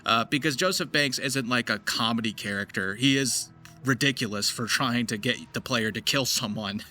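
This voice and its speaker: A man with a bright, forceful voice at an ordinary male pitch gives a casual walkthrough as a voice actor. He explains technique conversationally, with faint music behind him. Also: moderate pace. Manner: slips into gritty character demonstrations. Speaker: voice actor giving tips.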